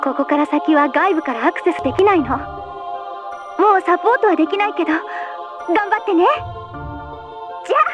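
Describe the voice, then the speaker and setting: A woman's voice: very high-pitched, animated, brisk, long pauses, highs cut off. A woman voicing a perky, playful young guide in a video game, chirpy and casual as she explains and teases. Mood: earnest. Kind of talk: animated Japanese video game cutscene acting. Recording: music behind the voice.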